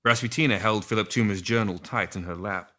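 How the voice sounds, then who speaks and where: Male audiobook narrator for fantasy fiction, low register, bright forceful delivery. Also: fairly quick. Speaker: story reader.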